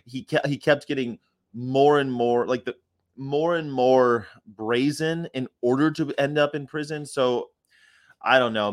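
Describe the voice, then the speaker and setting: A man's voice: mid-range voice, dry recording, studio quality. Casual man, laid-back. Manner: casual, swearing, many fillers. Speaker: host. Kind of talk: casual podcast chat.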